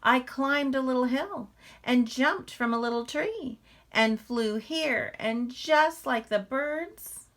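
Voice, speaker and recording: A woman's voice: sits high, slow pace, a bit bright, voice pushed up. A woman voicing an eager, imaginative little boy bear cub from a storybook, with wide-eyed, emphatic delivery. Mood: proud.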